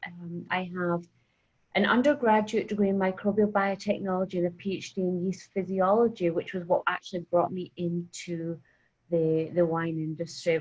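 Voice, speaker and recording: A woman's voice: lowish voice, darkish tone, lo-fi sound. Scottish woman explaining science. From Scotland. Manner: clear, explanatory, fluent. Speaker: guest scientist. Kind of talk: webinar guest explaining science. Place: home. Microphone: video call.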